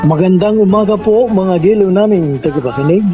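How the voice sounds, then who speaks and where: Female radio announcer, Filipino accent, scripted delivery, mid pitch, background music. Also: a little fast, dull audio.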